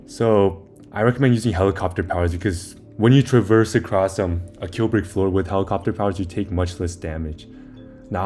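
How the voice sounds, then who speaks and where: A young man with a somewhat low voice talks through a video game in a loose, informal way. He explains each step as he plays, wandering a bit as he goes. Faint music sits behind his commentary. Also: darkish tone. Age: young adult. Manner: informal, rambling, talks through steps as playing. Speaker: video maker giving game guide. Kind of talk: casual game walkthrough commentary.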